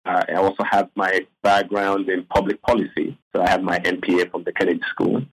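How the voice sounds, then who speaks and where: A middle-aged man from Ghana, a neurosurgeon, answering as a radio guest in a calm, matter-of-fact way. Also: low-pitched, brisk, slightly rough, rough recording. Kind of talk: radio interview.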